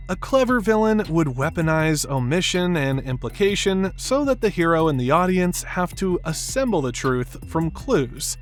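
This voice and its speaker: A man narrating a scripted film analysis in an emphatic, opinionated manner. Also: on the high side. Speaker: video essay narrator.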